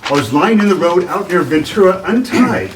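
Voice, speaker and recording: An actor playing an earnest, shaken artist in a radio drama, with a halting delivery. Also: male voice, high-pitched, big pitch swings, slight background noise. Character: earnest, shaken male artist recounting an ordeal. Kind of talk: 1940s radio drama reading. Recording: voice distant from the mic.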